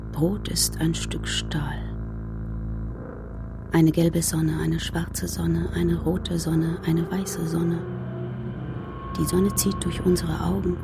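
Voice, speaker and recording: Gravelly, solemn apocalyptic poetic voice. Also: female voice, deep voice, background noise, full character voice. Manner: slow, incantatory, fragmented phrases. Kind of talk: dramatic radio poetry recitation.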